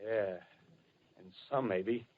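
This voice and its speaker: An actor playing a fanatical, scheming American soldier survivor in a 1930s radio drama, with rough working-class diction and colloquial, insistent phrasing. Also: male voice, lowish voice, drawn-out words. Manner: colloquial, punctuating points with "see?". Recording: muffled, narrow-band 1930s radio broadcast recording.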